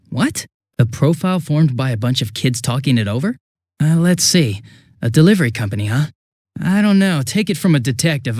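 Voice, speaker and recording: A man's voice: fairly high pitch, a little mellow, no echo, clean studio sound. A man voicing a goofy, chatty, hapless young police detective in a video game, with a casual, flustered, rambling manner. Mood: hesitant, thoughtful. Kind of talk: English video game voice acting.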